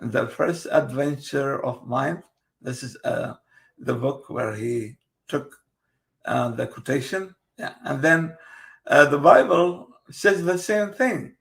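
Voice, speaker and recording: Male host, Kurdish native, gravelly, slow, hesitant. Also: medium pitch, fairly bright tone. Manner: halting, many fillers and restarts, slow and careful. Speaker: host presenting his book. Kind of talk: casual video interview.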